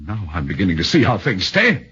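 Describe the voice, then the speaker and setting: A man's voice: on the low side, wildly swooping pitch, brisk, a bit bright, slightly rough, exaggerated voice. A man voicing an anxious young accused husband who speaks nervously and earnestly, stammering, in a 1940s radio drama on a muffled old broadcast.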